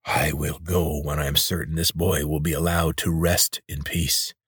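A man voicing a blind, menacing male warrior leader with a rasping voice. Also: rumbling low, flat delivery, normal speed, a bit bright, no room sound, clean studio sound, exaggerated voice. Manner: cold, threatening.

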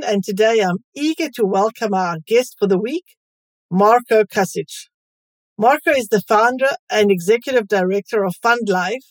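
A woman hosting a podcast, reading an introduction and asking friendly, clearly spoken questions. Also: mid-range voice, a little mellow, dry recording. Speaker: podcast host. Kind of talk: scripted introduction and interview questions.

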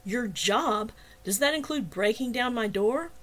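A woman's voice: on the high side, expressive, unhurried. A woman acting out an indignant, heated audiobook heroine. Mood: outraged. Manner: heated, clipped, challenging. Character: indignant woman narrator-heroine, standing her ground. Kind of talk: dramatized audiobook dialogue.

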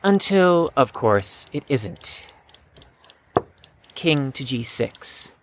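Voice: medium pitch, very animated, drawn-out words, noisy background. An actor playing an anxious, proud fifteen-year-old in an audio drama, speaking formally and precisely and stammering when flustered. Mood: smug, composed.

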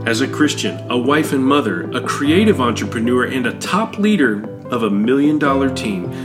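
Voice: mid-range voice, animated, moderate pace, music in the background. An announcer reading a scripted podcast introduction. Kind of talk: scripted promo narration.

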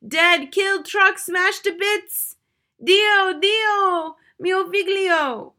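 A shrieking Italian mama. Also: female voice, very high-pitched, extremely slow, bright, forceful tone, pitched above the performer's own voice, heavily acted. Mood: wailing. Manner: shrieking, melodramatic wailing. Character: hysterical middle-aged Italian grocer's wife.

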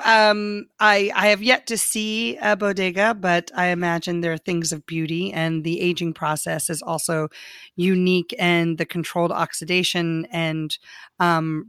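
A woman's voice: on the low side, fairly bright tone, clean tone, dry recording, studio quality. Woman explaining with many ums. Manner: hesitant, explaining with many fillers. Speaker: podcast co-host. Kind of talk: casual conversational chat.

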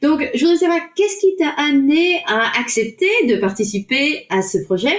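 A woman's voice: high voice, very animated, moderate pace. A woman interviewer who lays out a project at length and then asks questions. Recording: echoey room.

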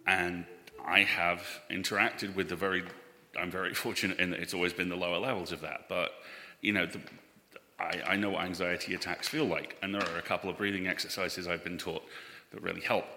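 A man with a deep and intensely bright, projecting voice speaks earnestly on a live panel. His thoughts come out measured and unscripted. The hall rings with long reverb. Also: little pitch movement. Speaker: actor on a panel. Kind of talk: casual live Q&A. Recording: echoey hall, live recording.

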